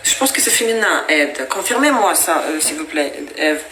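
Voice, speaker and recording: A woman's voice: low-pitched, expressive, moderate pace, pushed and bright, slight background noise. A woman whose first language is Russian, giving a casual tarot reading to viewers in a chatty, explaining way with frequent hesitations. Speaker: tarot reader. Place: at home with her cat. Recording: echoey room.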